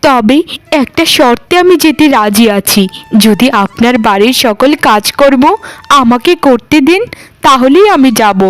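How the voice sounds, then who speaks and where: A meek young cartoon village woman, played by a woman, speaks in a high voice. She sounds earnest and plaintive, with quiet pride, in a close, dry sound. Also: expressive, unhurried, dry recording. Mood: plaintive, wary. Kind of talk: Bengali cartoon voice acting.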